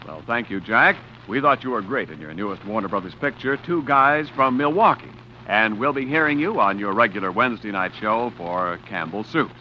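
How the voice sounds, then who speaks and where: A man's voice: fairly low pitch, moderate pace, few pauses, a little hiss or hum. A man announcing sponsor messages on a 1940s radio show in a polished, salesman-like way. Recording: dull old radio broadcast recording.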